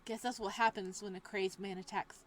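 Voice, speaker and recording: Young woman, mid-pitched, casual game streamer: soft narration reads, loud joking asides. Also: fairly even intonation, a little fast. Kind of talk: reading a visual novel aloud with commentary.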